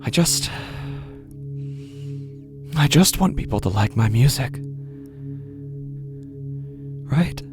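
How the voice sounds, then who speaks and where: A man playing a desperate, ingratiating street busker in a horror audio drama, speaking in a theatrical, halting way and repeating himself. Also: medium pitch, expressive, over music. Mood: desperate, pleading. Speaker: actor in a horror fiction podcast. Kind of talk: dramatic first-person audio fiction monologue.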